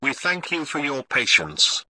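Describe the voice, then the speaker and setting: A man playing a calm, formal television emergency news announcer, reading a scripted bulletin in a measured way. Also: mid-range voice, no room sound, exaggerated voice, low-quality recording. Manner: formal, measured, read-out delivery.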